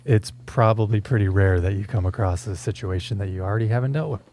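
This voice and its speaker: A man's voice: fairly low pitch, quick pace, a little mellow. A man hosting a casual, conversational chat in an informal manner. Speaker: show host.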